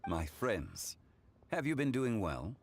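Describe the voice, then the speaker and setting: A man playing a composed, learned and courteous gentleman in a video game, speaking in a formal, measured, eloquent way. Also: lowish voice, very animated, heavy background noise, heavily acted. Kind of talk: video game character dialogue.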